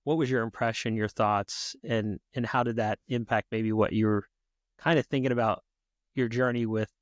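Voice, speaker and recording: A man's voice: mid-range voice, steady tone, moderate pace, no echo, clean studio sound. A man from the United States hosting a podcast, chatting in a casual way and asking long, rambling questions full of fillers. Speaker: podcast host. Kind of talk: casual interview.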